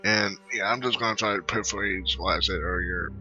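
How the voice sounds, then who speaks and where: A man's voice: low-pitched, fairly quick, dull audio. A man giving casual, rambling off-the-cuff commentary on his own video game playthrough. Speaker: gamer narrating own playthrough. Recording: loud game music behind voice. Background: loud video game music.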